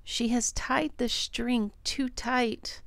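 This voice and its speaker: A woman's voice: fairly high pitch, steady tone, slow, gravelly. A woman voicing a small, anxious boy who longs for his daddy, told expressively as a children's storybook. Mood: wondering, uneasy. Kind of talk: dramatic children's storybook narration in first person.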